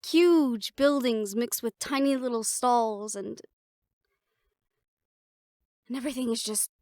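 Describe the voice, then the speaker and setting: Casting: actress as playful siren-banshee hybrid woman for audio roleplay; higher voice, dry close sound, casual and chatty, a little wistful. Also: no room sound. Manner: casual, chatty, halting, with small laughs. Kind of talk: intimate audio roleplay, one-sided conversation with listener.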